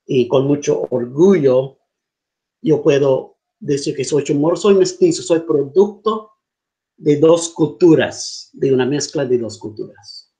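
A middle-aged man from Guam speaks on a video call in a humble, halting way. His mellow, soft-toned voice sits a little high, and he often repeats words as he goes. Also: animated. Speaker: guest caller. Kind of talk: online video call talk. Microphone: laptop webcam on video call.

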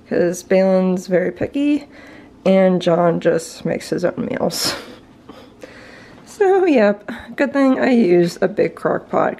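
A woman in her thirties chatting casually about what she's cooking in her home kitchen, relaxed and self-deprecating. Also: mid-range voice. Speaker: home vlogger. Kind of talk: casual voice-over chat while cooking.

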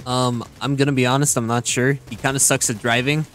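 A man's voice: medium pitch, little pitch movement, brisk, a bit bright, faint music behind, close to an everyday voice. A man voicing a smug, laid-back cartoon hedgehog, teasing casually in comedic gameplay banter.

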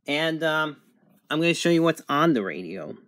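A man's voice: fairly high pitch, sing-song, normal speed, fairly bright tone, dry recording, clean studio sound. A man giving a casual, plain, step-by-step product demonstration, as a reviewer recording indoors.

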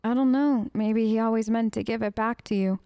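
Female let's-player, smooth mid-range voice on a close dry mic, tentative, conversational role-play. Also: a little high, steady tone, fairly quick, dark tone, dry recording. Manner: informal, tentative, thinking aloud. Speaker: gamer role-playing her character. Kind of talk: casual improvised role-play commentary. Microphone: close microphone, voice-over on gameplay.

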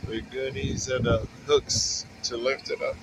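A man in his forties, a mobile welder, casually talking to the camera in a laid-back, unhurried way while working outdoors at a job site, recorded on a phone. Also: medium pitch, level tone, heavy background noise. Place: outdoors on a work site, beside a truck. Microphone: handheld phone camera and wireless earpiece.

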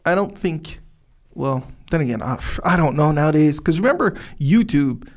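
A young man co-hosting a podcast in a studio, talking in a rambling, animated way and searching for words. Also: a little high, normal speed. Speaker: podcast co-host. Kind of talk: casual podcast chat. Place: dark studio, indoors. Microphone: studio mic on boom arm, headphones.

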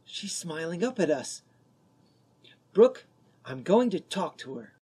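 A man acting an eager, lonely teenage boy in an amateur radio drama, boyish and husky. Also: on the high side, big pitch swings, takes it slowly, dark tone, heavily acted.

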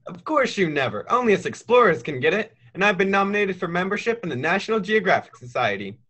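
Twelve-year-old boy, teenage male actor: very high, bright, forceful voice, cocky yet polite, radio play delivery. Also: sing-song, quick pace, exaggerated voice. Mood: cheerful, boastful.

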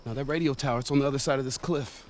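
An actor playing an earnest, protective young survivor with an American accent in a video game. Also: male voice, mid-range voice, racing, natural voice. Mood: friendly, upbeat. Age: young adult. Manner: earnest, expressive. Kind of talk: video game cinematic acting.

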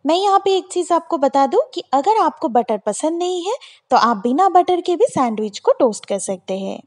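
A woman's voice: high voice, darkish tone. A woman hosting a home cooking channel, talking viewers through a recipe step by step in a friendly, instructive voice-over. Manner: friendly, instructive, repeats steps clearly.

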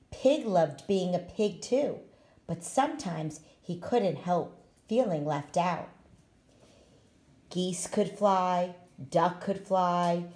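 A woman reading a children's picture book aloud in an expressive, deliberate way. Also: fairly low pitch. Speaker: storytime reader, school staff.

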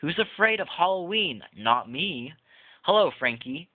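A man's voice: on the high side, very animated, a little slow, no echo, voice pushed up. A man reading the lines of a playful, fearless little girl in a Halloween storybook, chirpy and coaxing. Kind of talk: storybook read-aloud. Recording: tinny phone-like lo-fi audio.

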